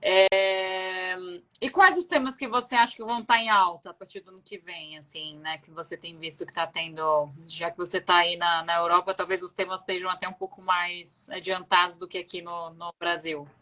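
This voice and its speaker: A woman hosts a relaxed, conversational live interview. Her voice is bright and forward, and she speaks over a video call. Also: fairly low pitch, normal speed. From Florianópolis, southern Brazil. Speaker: interview host.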